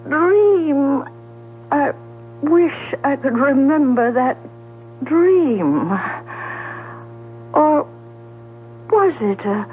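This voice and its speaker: Troubled, persistent sister, radio play, slow dramatic delivery, tinny vintage recording. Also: female voice, high-pitched, slight background noise, full character voice. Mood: frightened, dazed. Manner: deliberate, dramatic, repeats questions. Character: troubled, persistent woman confronting her sister about murder. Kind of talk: 1970s radio drama acting.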